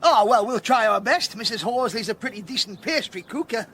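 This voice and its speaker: A man's voice: sits high, animated, brisk, fairly bright tone, slight background noise. An actor playing a genteel, affable male village vicar in a radio drama, fussy, polite and hesitant.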